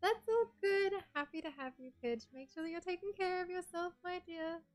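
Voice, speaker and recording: An Australian woman with a soft, breathy voice, chatting casually with her stream viewers and reading their messages aloud. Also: very high-pitched, normal speed. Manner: chatty, reads chat messages aloud and answers them. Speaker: game streamer.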